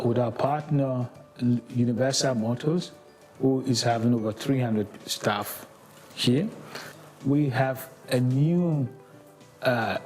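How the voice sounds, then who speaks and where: A man's voice: mid-range voice, darkish tone. A man in his sixties, an auto industry executive, giving an earnest, promotional interview on a lapel mic in an office. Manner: earnest, promotional, with hesitant restarts.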